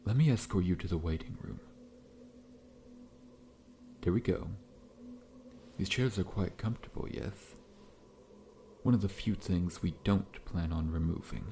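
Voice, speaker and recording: A man playing a massive, friendly but faintly menacing scorpion-man dentist in an ASMR roleplay, speaking in a gentle, reassuring, politely formal way. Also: sits low, unhurried, pauses often, slight background noise. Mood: attentive, amused. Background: background music.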